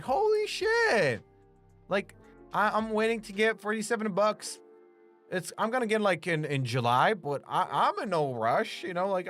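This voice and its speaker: A man's voice: high-pitched, fairly bright tone. Rambling man, slight Romanian accent. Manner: rambling, heavy filler "like", swears freely. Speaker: streamer and voice actor. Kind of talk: casual chat with stream viewers.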